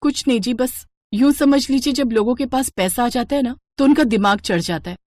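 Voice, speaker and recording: A woman's voice: fairly high pitch, normal speed, darkish tone, no room sound, clean studio sound, lightly acted. A woman voicing a humble, earnest poor sister with a polite, plain manner in a cartoon moral story. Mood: hurt.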